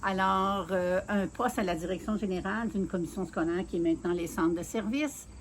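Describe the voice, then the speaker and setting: A woman in her sixties from Quebec City with a Quebec French accent, calmly telling her career story in an interview, with many filler pauses. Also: medium pitch, a little hiss or hum. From Quebec City, Canada. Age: 60s. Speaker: mayoral candidate being interviewed.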